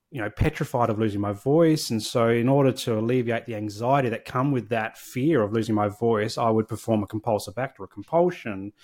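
A man's voice: mid-range voice, darkish tone. A man, a podcast guest candidly and reflectively telling his personal story. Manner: candid, reflective, with frequent restarts.

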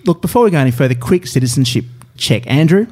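Lively, wry man hosting. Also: fairly high pitch, fast talker. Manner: wry, joking, lively delivery. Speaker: podcast co-host. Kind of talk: casual political comedy chat.

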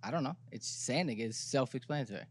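Soft-spoken, hesitant man from Iraq. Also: mid-range voice, animated, fast, breathy edge. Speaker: guest musician. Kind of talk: casual interview answers. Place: studio.

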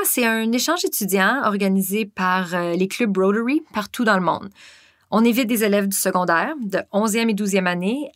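Anglophone woman from Prince Edward Island, measured and articulate, dry close sound. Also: medium pitch, fairly bright tone, no room sound. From Prince Edward Island, Canada. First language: English. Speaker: interviewee. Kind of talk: prepared testimony.

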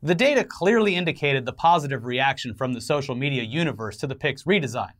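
A man's voice: mid-range voice, lively intonation, quick pace, fairly bright tone. A man co-hosting an entertainment news show, mixing scripted commentary with casual banter and wry, sarcastic asides.

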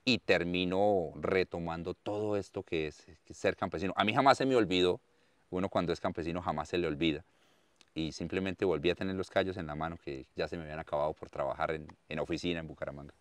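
A man in his thirties with a Santander Colombian accent speaks in a very low, smooth and resonant voice. He tells his life story earnestly and expressively, often repeating words for emphasis. The recording is close and dry. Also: quick pace, no room sound. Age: 30s. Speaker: interviewee, farmer and content creator. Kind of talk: conversational storytelling interview.

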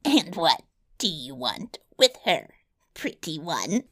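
Woman as a creaky, slow, spiteful little dwarf. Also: low-pitched, big pitch swings, a bit bright, full character voice. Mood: sly, boastful. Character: small malicious male dwarf, sly, boastful and spiteful. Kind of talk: fairy tale storybook reading.

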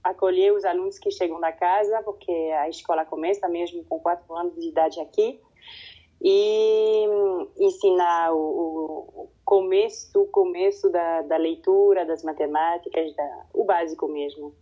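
Woman, low-pitched, mellow, halting speech, dull lo-fi call audio. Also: steady tone, breathy edge. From French-speaking Switzerland. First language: French. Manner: halting, searching for words. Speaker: kindergarten teacher, guest.

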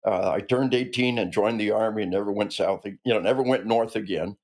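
Older guy in his 60s, low voice, earnest, keeps restarting sentences, guest on a podcast. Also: fairly quick, darkish tone. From American Midwest. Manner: earnest and emphatic, with frequent restarts. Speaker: guest, retired army officer and author. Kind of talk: conversational interview.

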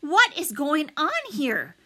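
A woman voicing a brisk female schoolteacher keeping order in a children's storybook. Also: high voice, very animated, pushed and bright, voice pushed up, exaggerated voice. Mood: questioning.

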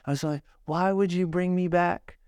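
Middle-aged dude chatting loose, lots of likes and you knows, smooth clear voice, dead dry mic. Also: male voice, high-pitched, steady tone, normal speed, a little mellow, dry recording. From South Carolina, born in Hawaii. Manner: rambling, lots of "like" and "you know". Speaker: podcast guest, surfboard shaper. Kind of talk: casual conversation.

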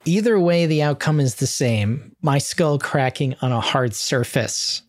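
A woman voicing an anxious, fussy teenage boy with a prim, deadpan, worrying manner in a comedy script read. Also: deep voice, measured pace. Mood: fussy, worried.

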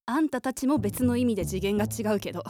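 A woman's voice: on the high side, quick pace, mellow, lightly acted. An actress in a radio drama playing a blunt, jealous young woman with a sarcastic, needling manner. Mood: sarcastic, envious. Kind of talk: Japanese radio drama acting.

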